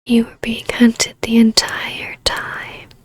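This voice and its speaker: Lady doing a vampire baroness for asmr roleplay, high-ish voice but very even, hushed n whispery, taunting, regal, super dry close recording. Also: no echo. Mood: taunting, predatory. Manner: slow, hushed, breathy delivery slipping into whispers; taunting, imperious, measured. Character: vampire baroness, ancient huntress turned ruler, taunting, imperious, regal. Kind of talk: scripted ASMR audio roleplay.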